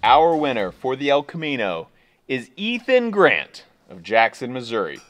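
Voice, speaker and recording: A man's voice: mid-range voice, sing-song, measured pace, fairly bright tone, faint music behind. A man hosting a vlog, chatting casually and laughing often as he shows truck parts in a workshop. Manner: laid-back, laughs often. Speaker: vlog host. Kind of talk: casual chat.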